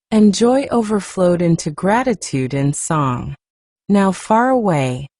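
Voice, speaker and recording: A woman narrates a story softly and without hurry, letting pauses linger. Her voice sits fairly low and is very mellow, yet it rises and falls with plenty of movement. The delivery is hushed and soothing, made for bedtime listening. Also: no echo, clean studio sound. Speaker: audiobook narrator.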